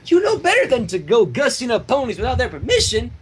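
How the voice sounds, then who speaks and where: A man's voice: very high-pitched, huge pitch swings, quick pace, pitched above the performer's own voice, exaggerated voice. A man voicing a stern, fearless female cartoon pony with a defiant, declaring manner.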